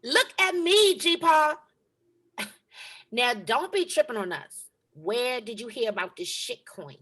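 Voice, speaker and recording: A woman's voice: a little high, animated, takes it slowly, a bit bright, heavily acted. Woman playing a sassy young granddaughter. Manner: sassy, exasperated scolding. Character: sassy nineteen-year-old granddaughter. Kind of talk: table read.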